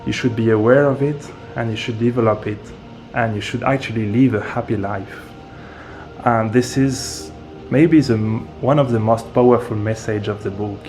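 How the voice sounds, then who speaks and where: A man from France, a guest speaking in a slow, hesitant way and searching for his words. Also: mid-range voice, fairly even intonation, darkish tone, faint music behind. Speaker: interview guest, medium and author. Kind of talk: casual interview talk.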